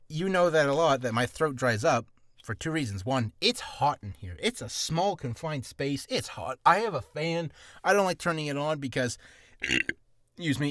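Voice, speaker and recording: A man's voice: mid-range voice, fairly bright tone, no room sound, studio quality. A man giving beginner voice-acting advice in a casual vlog, nervous and rambling, with self-deprecating asides, from a small, hot, cramped room.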